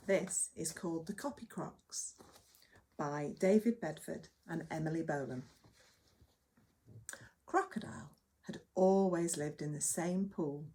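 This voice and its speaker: A woman reading a children's story aloud as a nursery teacher, with dramatic emphasis and hushed moments. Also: on the low side.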